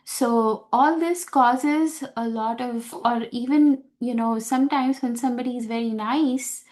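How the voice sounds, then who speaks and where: Slow, searching woman teaching scripture. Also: on the high side, a little mellow. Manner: slow, searching, many fillers and restarts. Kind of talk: unscripted talk on scripture.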